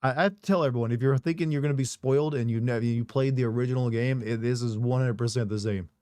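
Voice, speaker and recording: A man with a hoarse, recovering voice, casually chatting on a live stream and reading viewer messages aloud with rambling, joking asides. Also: medium pitch, quick pace, darkish tone, no echo, clean studio sound.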